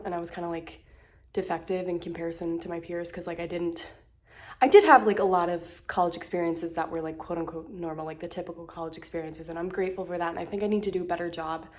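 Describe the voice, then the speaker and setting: A woman's voice: on the low side, darkish tone. A woman in her twenties with a blocked nose, talking into a phone held at arm's length at home, hesitant and full of fillers and restarts. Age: 20s. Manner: hesitant, lots of fillers and restarts, self-deprecating. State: congested, slightly out of breath. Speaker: vlogger. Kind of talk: rambling casual vlog. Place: at home indoors.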